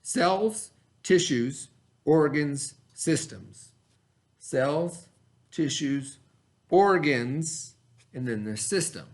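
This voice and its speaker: Higher-voiced man teaching a lesson. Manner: instructional, prompting with questions and repeating key words. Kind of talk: read-aloud lesson for children with questions to the class.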